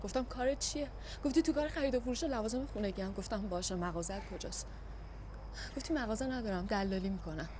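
A woman's voice: medium pitch, darkish tone, slight background noise, lightly acted. An actress playing a young wife pleading with her desperate husband, tearful and insistent. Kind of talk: TV drama acting.

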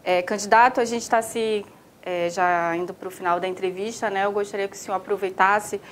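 A woman hosts a news interview in a relaxed, conversational tone, pausing on fillers as she frames her questions. Her voice is mid-pitched, and a faint hiss of noise sits behind her.

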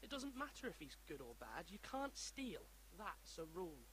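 A man's voice: high-pitched, sing-song, normal speed, fairly bright tone, slight background noise, rough recording. A man voicing a dutiful young lord in a story game. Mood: firm.

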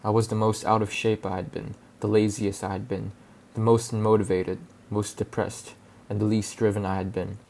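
Young guy from hong kong, cantonese is his first language, low flat voice, slow thoughtful voice-over. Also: vocal fry. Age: young adult. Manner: calm, reflective, measured. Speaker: student filmmaker. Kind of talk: scripted personal voice-over narration.

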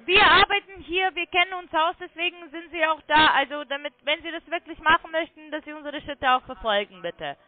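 A woman's voice: high-pitched, fairly quick, hardly any pauses, no echo. A woman working as a call centre sales agent, talking in an insistent, condescending way on a phone sales call. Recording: tinny phone line.

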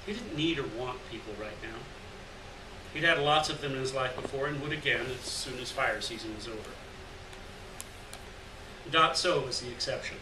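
A middle-aged man giving a live literary reading of scripted story narration in a gallery room. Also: on the high side. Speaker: story reader. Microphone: stand mic at lectern, picked up by far camera. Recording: roomy, distant mic, noticeable noise.

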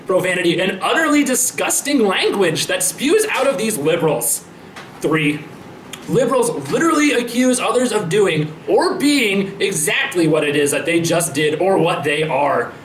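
An indignant, self-righteous politician acted out by a man declaims social media posts in a high male voice. The delivery is theatrical and emphatic, with folksy pomp, in an echoey room with faint noise behind it. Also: a bit bright. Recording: roomy echo, faint background noise.

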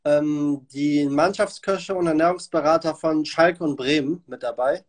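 A man's voice: fairly high pitch, measured pace. A man in his thirties hosting a casual, informal interview from a room at home. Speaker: host of a livestream interview show. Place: indoors, small room at home.

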